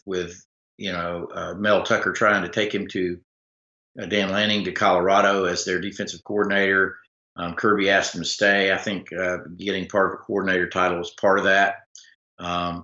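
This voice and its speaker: A man hosting a casual sports talk stream, rambling with many fillers and restarts, his voice slightly rough. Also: fairly low pitch, pushed and bright, reverberant, dull audio. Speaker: sports talk show host. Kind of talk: casual live-stream commentary reading viewer comments.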